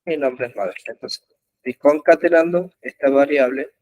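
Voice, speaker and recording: A man teaching an online web development class, explaining code slowly with frequent pauses and fillers. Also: fairly high pitch, darkish tone, no room sound, highs cut off. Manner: slow, halting explanation with many pauses and fillers. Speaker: programming instructor. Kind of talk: live online coding class. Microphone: computer mic in an online class.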